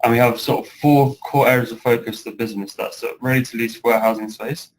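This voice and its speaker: A British man of around thirty giving a measured webinar presentation as a guest speaker. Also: medium pitch, fairly even intonation, slight background noise, poor audio. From United Kingdom. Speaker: guest speaker, project director.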